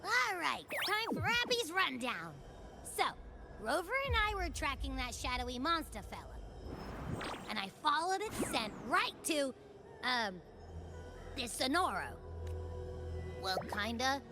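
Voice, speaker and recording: Bubbly, high-pitched little creature. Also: female voice, very animated, slow, pauses often, over music, heavily acted. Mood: excited, chatty. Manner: playful, informal, childlike chatter. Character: Abby, small excitable cheeky female Echo creature companion. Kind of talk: video game voice acting.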